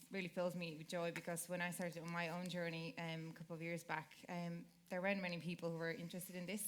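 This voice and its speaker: A woman from Slovakia, a festival organizer, speaking earnestly on a panel. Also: on the low side. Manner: earnest, enthusiastic. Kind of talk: panel discussion.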